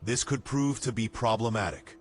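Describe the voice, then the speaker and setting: A man's voice: on the low side, normal speed, slight background noise, natural voice. An actor playing a cautious, dutiful castle guard in a fantasy audio drama, courteous and formal as he keeps the peace.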